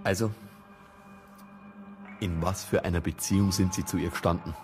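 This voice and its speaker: A man's voice: low-pitched, steady tone, moderate pace, a little mellow, faint music behind, lightly acted. An actor playing an impatient, blunt Bavarian police detective, a grumbling man, in a naturalistic radio drama. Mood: accusing.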